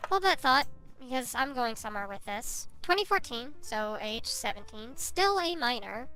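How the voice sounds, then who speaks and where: A woman's voice: fairly high pitch, animated, moderate pace, pushed and bright, faint music behind. A woman giving a rambling, self-justifying confessional monologue.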